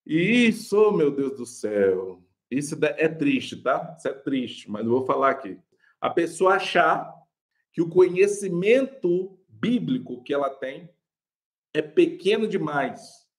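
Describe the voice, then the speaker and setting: A young adult Brazilian man, a pastor, teaches a live class on preaching. His fairly high voice swoops and jumps with lively energy, and he chats with his viewers and laughs often. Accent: Brazilian Portuguese. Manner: animated, chatty, laughs often, addresses viewers as "gente".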